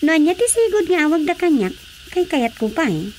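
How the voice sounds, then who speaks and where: An actress with an Ilocano accent playing a plain-spoken, bossy mayor's wife in a radio drama comedy. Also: female voice, very high voice, very animated, fairly quick, darkish tone. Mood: modest, firm. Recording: faint noisy cricket-like ambience.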